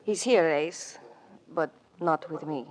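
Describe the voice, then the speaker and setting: An actress playing an elegant, wistful ballerina with formal, melodramatic speech in a 1940s radio drama. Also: female voice, deep voice, takes it slowly. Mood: troubled, pleading. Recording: noisy old radio recording.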